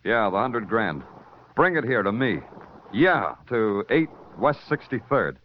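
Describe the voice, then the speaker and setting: An actor playing a tough, sardonic police detective in a 1940s radio crime drama. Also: male voice, on the low side, very animated, measured pace, a little hiss or hum. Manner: dry, sardonic, hard-boiled. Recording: dull lo-fi old radio recording.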